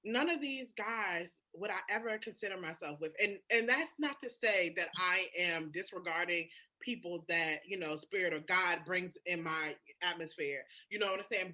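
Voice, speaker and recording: A woman's voice: mid-range voice, bright, forceful tone. A woman in a candid casual conversation, speaking emphatically and swearing freely. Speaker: podcast guest.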